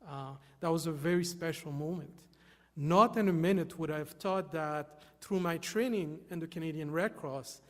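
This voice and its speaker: A man's voice: fairly high pitch, normal speed. Earnest man in a reverberant hall. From Haiti. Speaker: volunteer speaker. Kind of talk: short stage talk. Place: hall with an audience. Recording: long reverb of a large hall.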